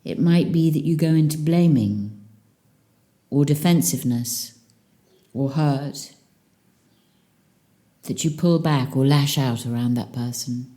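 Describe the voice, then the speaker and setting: Very low, slow woman guiding meditation. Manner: calm, soothing, with long pauses. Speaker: meditation teacher. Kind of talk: guided meditation.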